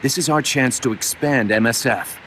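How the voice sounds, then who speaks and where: An actor playing an eager, pragmatic younger mercenary deputy in a game cutscene, earnest and persuasive. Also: male voice, medium pitch, normal speed, a bit bright, a little hiss or hum, natural voice. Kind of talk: video game cutscene voice acting.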